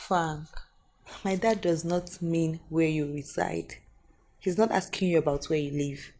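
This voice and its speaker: Daughter, played by a woman: low, mellow, patient. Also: steady tone, a little slow. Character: young daughter explaining for her father.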